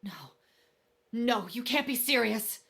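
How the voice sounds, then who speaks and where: A man voicing an alarmed, excitable young woman in a visual novel, exclaiming in disbelief. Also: very high-pitched, takes it slowly, creaky, pitched above the performer's own voice, exaggerated voice.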